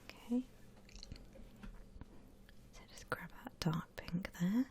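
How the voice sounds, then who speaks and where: A woman softly whispering an ASMR drawing tutorial in a breathy, gentle, unhurried way, like an instructor. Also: lowish voice. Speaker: ASMR art channel host.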